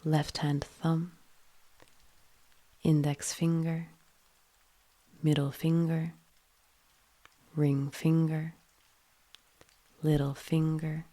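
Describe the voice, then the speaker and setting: A woman leading a guided yoga nidra meditation in a soothing, hushed manner, with phrases often trailing into breath. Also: low voice, flat delivery, extremely slow, long pauses.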